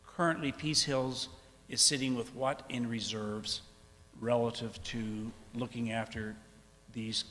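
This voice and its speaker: A man chairing a council discussion, measured and procedural, careful when reading out figures. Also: medium pitch, steady tone, bright, forceful tone. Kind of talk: formal meeting chairing. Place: council chamber. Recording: reverberant chamber with faint hum.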